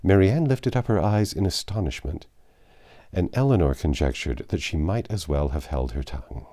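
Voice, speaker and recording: Deep-voiced man reading a classic novel. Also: lively intonation, normal speed, mellow. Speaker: narrator.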